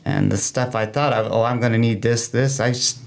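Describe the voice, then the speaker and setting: Male interview guest, creaky voice, chatty explainer who tells anecdotes and quotes people in conversation. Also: mid-range voice, steady tone, fairly quick. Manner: anecdotal, acts out quoted remarks. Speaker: interview guest, amateur radio club member.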